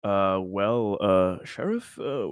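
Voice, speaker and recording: A man roleplaying a formal, wary, troubled security investigator in a tabletop game, with a measured, halting delivery. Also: fairly low pitch, very animated, clear, resonant tone, no room sound.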